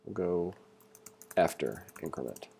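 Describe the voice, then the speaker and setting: A man's voice: low voice, expressive, a little slow, vocal fry, mellow, husky. A man giving a casual screencast tutorial, reading along and thinking aloud in an informal, conversational way as an instructor.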